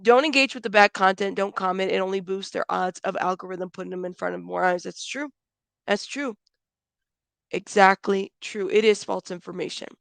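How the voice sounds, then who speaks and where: A woman hosting a live commentary stream, stuffed up and a little hoarse, talking bluntly and chatting with viewers by name. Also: mid-range voice, normal speed, a bit bright, no echo. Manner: blunt, frank, calls people out, swears; chatty, greets viewers by name, many fillers. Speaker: YouTube commentary host.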